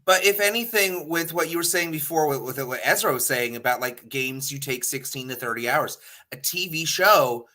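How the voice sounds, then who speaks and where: A man's voice: a little high, moderate pace, sharp tone. A man chatting casually and opinionatedly as a talk show panelist, rambling, emphatic and loose with swearing.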